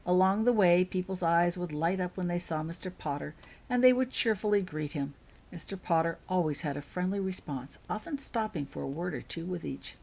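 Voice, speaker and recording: A woman narrates a storybook tale. Her voice sits on the low side and has a noticeably husky, breathy grain. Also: moderate pace, a little mellow. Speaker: author reading own fan fiction aloud.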